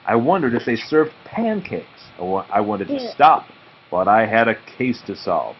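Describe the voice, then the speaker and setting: A man reading a children's book aloud to a small child in an expressive way. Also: fairly low pitch, normal speed, breathy edge. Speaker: read-aloud storyteller. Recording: dull muffled home recording, faint noise.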